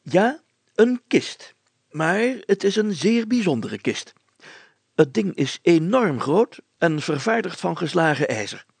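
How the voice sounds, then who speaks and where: A man voicing an excitable, secretive village mayor in an audiobook, telling things emphatically and deliberately in a creaky voice. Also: high voice, no room sound, full character voice. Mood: emphatic, secretive. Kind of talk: acted audiobook dialogue.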